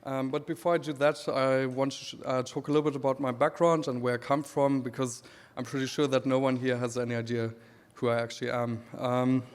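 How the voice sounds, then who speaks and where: A man in his thirties from Namibia with a German accent, giving a relaxed conference talk with dry humor and many pauses. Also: mid-range voice. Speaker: speaker presenting his creative work. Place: event hall. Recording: reverberant hall.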